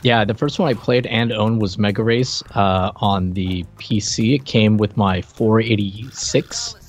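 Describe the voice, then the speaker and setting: A middle-aged man hosting a podcast, chatting informally and jokingly about retro games in a halting way. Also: on the low side. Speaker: podcast host. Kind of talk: casual retro game review chat.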